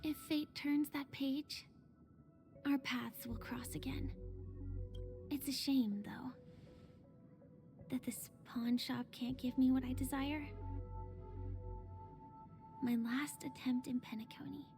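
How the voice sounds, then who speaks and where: A woman playing a composed, enigmatic, coolly patient lady in a video game, speaking softly with poise. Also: sits high, steady tone, takes it slowly, pauses often. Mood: calm, wistful. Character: composed, enigmatic female pawn shop visitor, coolly patient. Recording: quiet game audio under music.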